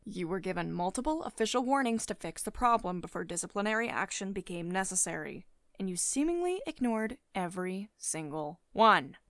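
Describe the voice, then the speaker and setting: A woman's voice: medium pitch, lively intonation, brisk, a bit bright, no room sound. Woman doing a bossy lady ceo for asmr roleplay, strict n sardonic, really swoopy voice, dry close sound. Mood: stern. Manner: domineering, sardonic, clipped reprimands with sugary pet names. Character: stern, commanding female tech CEO, condescending yet caring.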